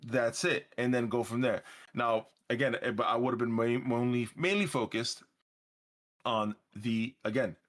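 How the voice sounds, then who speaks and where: A man's voice: mid-range voice, fairly even intonation, measured pace, a bit bright. A man from Brooklyn with a Bronx-leaning New York accent, hosting a livestream in casual chat, rambling, repeating words and cursing freely. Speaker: livestream host.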